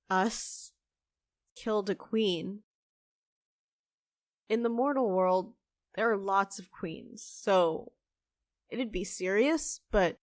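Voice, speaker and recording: An actress playing a young half-fae newcomer, secretive and good-hearted, with a hesitant manner in an audio drama. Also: female voice, mid-range voice, no room sound, studio quality, natural voice.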